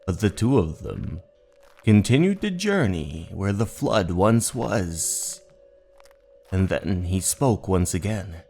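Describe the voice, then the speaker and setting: A low-voiced man narrates a horror tale in a drawn-out, dramatic way. His pitch swings widely, and music plays under his voice. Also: sing-song, creaky, mellow, slightly rough. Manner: dramatic, drawn-out storytelling delivery. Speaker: narrator and host of manga readings. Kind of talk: scripted horror story narration. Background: background music.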